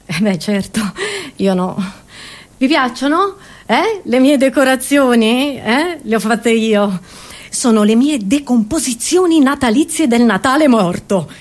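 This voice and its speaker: A woman's voice: a little high, expressive, a little slow. A woman performing a theatrical comic monologue to an audience, scatterbrained and exclamatory, often breaking off and repeating her words.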